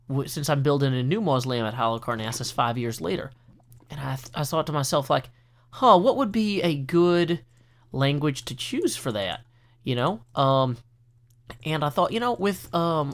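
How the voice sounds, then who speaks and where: An earnest man from the United States talks over his gameplay in a relaxed, meandering way. He backtracks often, piles on fillers and restarts his sentences. His voice sits at an ordinary middle pitch, recorded close on a desk mic. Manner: earnest, rambling with many fillers and self-corrections. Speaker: let's play host. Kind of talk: casual gameplay commentary.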